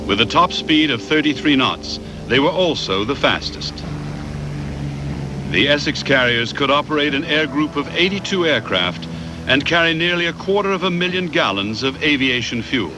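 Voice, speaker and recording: A man reads scripted documentary narration in a measured, factual way. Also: fairly high pitch, animated, background noise.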